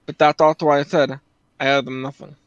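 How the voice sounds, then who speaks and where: A man's voice: a little high, little pitch movement, fairly quick, clean tone, dry recording. A man commenting casually on a game story, explaining it in conversation. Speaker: reaction video commentator. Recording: slightly dull, close dry sound.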